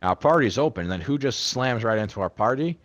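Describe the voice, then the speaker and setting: A man's voice: mid-range voice, expressive, fairly quick, a bit bright, no echo, dull audio. A young man hosting a podcast, talking in a laid-back, rambling way and swearing freely. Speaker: podcast host. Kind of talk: casual chat.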